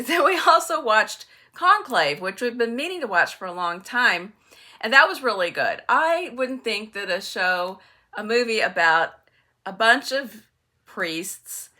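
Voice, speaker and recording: An older woman with a bright, clear-edged voice at a medium pitch. She talks casually to viewers as a book vlogger. Her manner is chatty and wry, and she readily pokes fun at herself.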